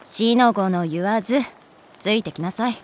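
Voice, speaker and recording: A woman's voice: medium pitch, moderate pace, lightly acted. A woman playing a pragmatic, teasing female schoolteacher in a Japanese audio drama, moving between polite firmness and casual bluntness. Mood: firm, polite, exasperated.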